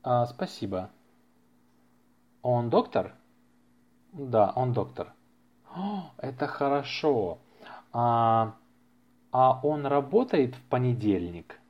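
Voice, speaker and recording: Male language-teacher podcast host, mid-pitched, very slow and deliberate, careful repetition, slightly muffled lo-fi sound. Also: very animated. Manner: deliberate, carefully enunciated, repeats phrases for learners. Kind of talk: slow language-lesson podcast reading practice dialogues.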